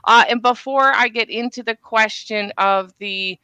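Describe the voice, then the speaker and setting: A woman's voice: a little high, unhurried, pushed and bright, smooth, dry recording. A woman from Norway, a cruise line sales representative, answering questions on a live webinar with a chatty, joking manner. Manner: chatty, self-deprecating jokes.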